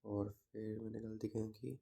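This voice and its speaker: A young man with a slightly sore throat, talking through his day as a student in an unhurried, reflective vlog. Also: lowish voice, little pitch movement, creaky, dark tone, husky. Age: young adult. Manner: unhurried, reflective, mixes English words into the talk. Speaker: engineering student vlogger. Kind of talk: casual daily vlog recap.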